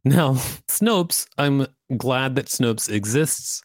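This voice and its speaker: A man's voice: mid-range voice, lively intonation, drawn-out words, dry recording, studio quality. A man co-hosting a podcast, reading an article aloud and adding casual commentary. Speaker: podcast co-host.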